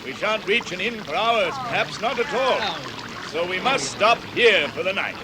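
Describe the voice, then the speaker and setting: A bossy, declamatory British dwarf leader, raised animated voice, vintage radio play with clear background noise. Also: male voice, high voice, moderate pace. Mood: commanding. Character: commanding, pompous male dwarf leader. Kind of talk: old-fashioned radio drama acting. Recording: noisy vintage radio drama recording.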